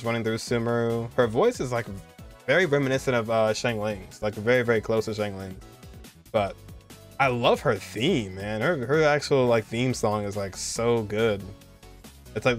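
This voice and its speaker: A man, giving casual, enthusiastic reaction commentary in an informal way. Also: medium pitch. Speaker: reaction video host.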